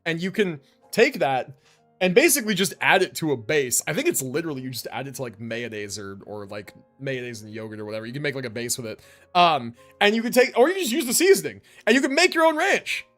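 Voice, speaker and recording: An American man chatting casually on a live game stream in an animated, slangy, joking way. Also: fairly high pitch, fast talker, faint music behind.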